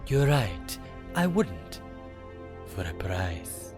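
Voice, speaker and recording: A man voicing a sly, mercenary wyvern guide in an animated fantasy, with formal, deliberate phrasing. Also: low-pitched, soft background music, heavily acted. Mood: sly, bargaining. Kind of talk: animated fantasy drama acting.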